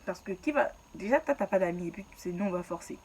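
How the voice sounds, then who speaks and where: A woman's voice: on the low side, animated, fast talker, darkish tone, slight background noise. Chatty slangy young woman storyteller. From Ivory Coast. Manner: chatty, slangy, laughs at her own story. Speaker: YouTuber telling her life story. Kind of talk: casual storytime vlog.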